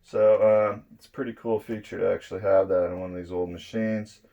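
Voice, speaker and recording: Guy, kinda low voice, casually showing how a device works, takes his time. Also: steady tone, creaky, warm tone, lo-fi sound. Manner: unhurried, explains step by step. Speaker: presenter of electronics demo videos. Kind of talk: casual hands-on product demonstration. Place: indoors at a draped table.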